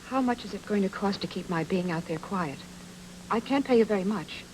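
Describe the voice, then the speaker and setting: Actress as a cool, teasing femme fatale. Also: female voice, medium pitch, expressive, fairly quick, darkish tone, a little hiss or hum, close to an everyday voice, low-quality recording. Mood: guarded. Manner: sly, flirtatious, clipped banter. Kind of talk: film noir radio drama acting.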